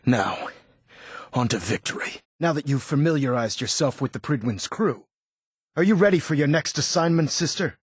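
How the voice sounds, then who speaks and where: An actor playing a solemn military leader in a video game, speaking in a formal, declamatory way with a husky voice. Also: male voice, a little high, lively intonation, moderate pace, no room sound, clean studio sound. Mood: stern, rousing. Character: solemn, commanding male military leader rallying soldiers.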